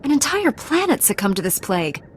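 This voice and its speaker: An actress playing a principled, stern Jedi knight who speaks in a firm, earnest, direct way. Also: female voice, high voice, big pitch swings, fairly quick, a little hiss or hum.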